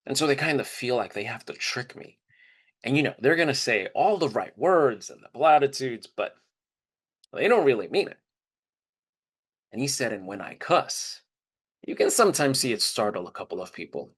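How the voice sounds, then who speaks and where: Man playing animated, husky-voiced educator. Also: medium pitch, normal speed, long pauses. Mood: amused, candid. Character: candid, easygoing educator recounting a colleague's advice. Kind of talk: dramatized storytelling monologue, conversational.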